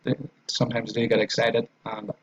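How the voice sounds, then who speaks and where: A man's voice: mid-range voice, completely flat delivery, normal speed, muffled recording. A man with an Irish accent talking through a trading tutorial on screen, in a loose, repetitive way with fillers and restarts. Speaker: trading educator.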